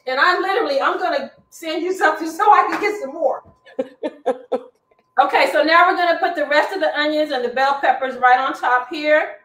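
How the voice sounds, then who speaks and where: A woman's voice: sits high, a bit bright. A middle-aged woman hosting a live cooking demonstration from her home kitchen, chatty and instructive as she cooks. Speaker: cooking show host. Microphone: far camera across the kitchen. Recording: roomy kitchen from far off.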